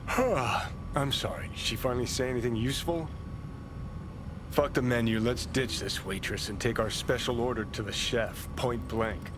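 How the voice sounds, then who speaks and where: A man's voice: mid-range voice, moderate pace, background noise, close to an everyday voice. A man playing a cynical, crude rebel companion in a video game, with a sardonic, sneering delivery. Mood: sardonic, scheming. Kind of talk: scripted video game acting. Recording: prominent music behind.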